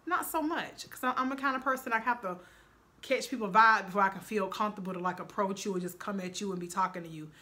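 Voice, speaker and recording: A woman's voice: mid-range voice, fast, pushed and bright. A woman co-hosting a couple's vlog, talking earnestly and freely about teamwork in marriage. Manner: earnest, chatty, explaining. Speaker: vlog co-host. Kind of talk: casual couple vlog chat.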